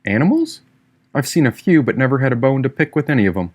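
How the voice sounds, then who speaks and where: A man's voice: medium pitch, very animated, fast talker, darkish tone. A man voicing a free-spirited, teasing young woman in an audiobook, with a breezy, irreverent manner. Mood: easygoing, musing.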